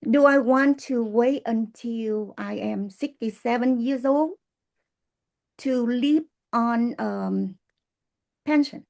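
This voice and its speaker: Very slow, creaky Vietnamese-accented woman. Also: a little high, a little mellow. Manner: halting, earnest, non-native English with pauses. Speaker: guest speaker, business distributor. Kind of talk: prepared testimonial speech.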